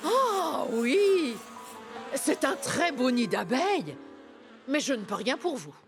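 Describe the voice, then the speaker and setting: A woman playing a firm, businesslike bee specialist in a cartoon dub, expressive, with music behind her. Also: sits high, slow, exaggerated voice.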